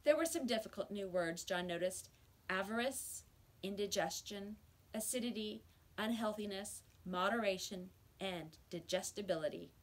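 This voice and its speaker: Middle-aged woman, mid-range voice, measured and expressive storybook reader for young pupils. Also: medium pitch. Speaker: teacher reading aloud. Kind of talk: children's storybook read-aloud. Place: small school office or library room, indoors.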